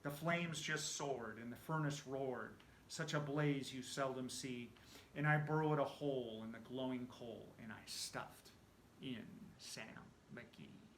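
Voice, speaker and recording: A man giving an animated, theatrical recitation of a narrative poem, often dropping to a hush as phrases end. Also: medium pitch, takes it slowly, echoey room, slight background noise. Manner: animated, theatrical storytelling with hushed phrase endings. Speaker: amateur poetry reciter.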